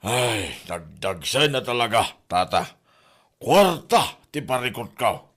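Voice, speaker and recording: Lively-pitched, troubled Ilocano tricycle driver a man acting. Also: slow, heavily acted. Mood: worried, lamenting. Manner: lamenting. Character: troubled working tricycle driver burdened by debt. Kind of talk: Ilocano comedy drama podcast acting.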